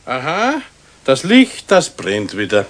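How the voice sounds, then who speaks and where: A man's voice: medium pitch, huge pitch swings, takes it slowly. A man playing a helpful, insistent building caretaker in a children's radio play, speaking folksily with a Bavarian accent. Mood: helpful, matter-of-fact. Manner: folksy, persistent. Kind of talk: 1970s German children's radio play acting.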